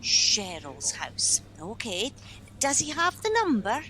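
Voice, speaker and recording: A woman's voice: fairly high pitch, very animated, slow pace, pushed and bright, full character voice, rough recording. A woman playing a flustered, well-meaning helpline office worker in a naturalistic radio play, speaking hesitantly. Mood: polite.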